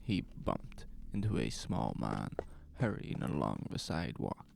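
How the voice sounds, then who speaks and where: A man narrating a scripted horror story in a measured, suspenseful way. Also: extremely low pitch, big pitch swings, vocal fry, a little mellow, a little hiss or hum.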